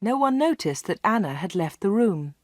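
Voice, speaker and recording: Brief: woman narrator, slow and clear for learners, dry close studio mic. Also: mid-range voice, big pitch swings, a little mellow, no echo. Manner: clear and measured. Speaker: audiobook narrator. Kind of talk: slow read-along storytelling for learners.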